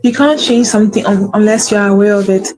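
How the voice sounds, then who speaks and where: A woman's voice: medium pitch, brisk, a little mellow, rough recording. A woman with a stutter hosting a faith-based motivational talk, repeating her key phrases for emphasis. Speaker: podcast host.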